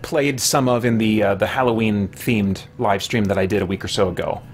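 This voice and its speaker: A man in his thirties or forties chatting casually on a game livestream, thinking aloud in a halting way. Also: on the low side, fairly even intonation, a little hiss or hum. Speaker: video game live streamer. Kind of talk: casual livestream chat while gaming. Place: small indoor room with shelves.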